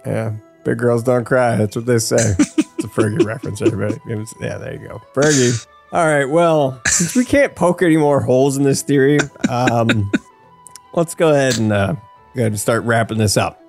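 A man, probably in his thirties, hosting a casual podcast chat in a jokey, playful, animated manner. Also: mid-range voice, moderate pace, gravelly, soft background music. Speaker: podcast host. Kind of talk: casual podcast chat about game lore.

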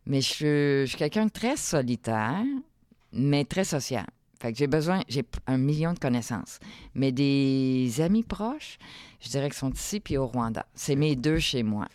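A woman's voice: very deep voice, expressive, normal speed, darkish tone, dry recording, clean studio sound. A middle-aged woman with a Quebec French accent, talking casually as an interview guest, thinking aloud in broken phrases. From Quebec, Canada. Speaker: podcast guest, entrepreneur.

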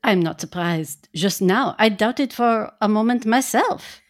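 A woman's voice: mid-range voice, animated, natural voice. A woman voicing a kindly, solemn old male professor, tender and theatrical, in a reading of a gothic novel. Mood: grave. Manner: deliberate, tender, theatrical delivery.

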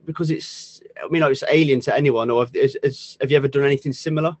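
A man's voice: mid-range voice, fairly even intonation, fast, a little mellow, dry recording. A man hosting a casual podcast, joking, laughing a lot and asking rambling questions. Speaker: podcast host. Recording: dull, lo-fi, dry sound.

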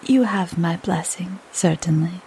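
A woman voicing a timid, uneasy older village priest who speaks in slow, hushed whispers. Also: lowish voice, slight background noise, low-quality recording.

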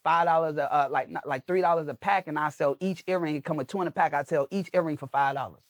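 A man's voice: on the high side, fast, no room sound, studio quality. A man speaking as a guest on a podcast, coaching people on making video content in an emphatic, preachy way with lots of repetition. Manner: emphatic, preachy, repeats phrases. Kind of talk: motivational podcast interview.

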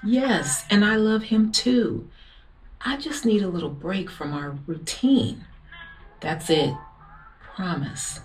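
An actress playing a flirtatious, scheming married woman of wealth in an audio drama, with a husky, breathy voice and coaxing manner. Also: medium pitch, takes it slowly, poor audio. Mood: scheming, defensive. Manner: seductive, coaxing. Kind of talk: modern audio drama acting.

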